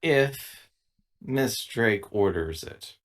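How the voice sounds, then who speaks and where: A man playing a tabletop roleplaying game, speaking in character with measured wording. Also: medium pitch, animated. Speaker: tabletop game player speaking as an android crew member.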